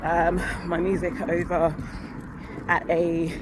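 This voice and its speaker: Woman vlogger, candid rambling talk, phone mic outdoors, clear street noise. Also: lowish voice, flat delivery, slow. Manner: rambling, candid, self-correcting with small laughs. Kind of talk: casual vlog chat to a phone while walking. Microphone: phone held up to her face. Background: traffic and street ambience.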